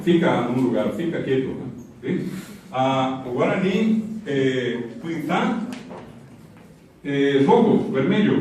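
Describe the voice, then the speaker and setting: A man with a slightly high voice teaches a language in a very echoey hall, far from the microphone. He is didactic, repeating example words and checking in with his listeners. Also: darkish tone. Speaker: lecturer teaching Guaraní. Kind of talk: interactive language lecture with spoken examples. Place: university auditorium. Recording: very echoey hall, distant mic, audience noise.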